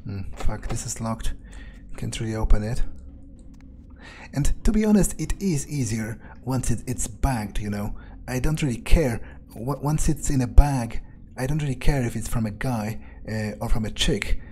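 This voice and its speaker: Bright-toned man rambling in character. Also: medium pitch, expressive, normal speed, slight background noise. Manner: hesitant, rambling, casually profane. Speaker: let's player. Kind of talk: in-character improvised game roleplay, thinking aloud.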